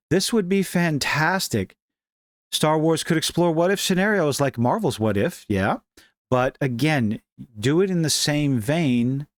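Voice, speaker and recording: A man's voice: on the high side, no echo, clean studio sound. A man hosting a casual commentary show, reading and reacting to online posts in an opinionated, wry, informal way.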